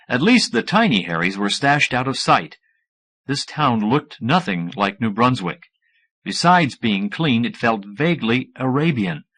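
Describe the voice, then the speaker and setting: A man's voice: mid-range voice, fairly bright tone. A man reads scripted fiction as an audiobook narrator, shifting into character voices. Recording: 1980s audiobook cassette recording.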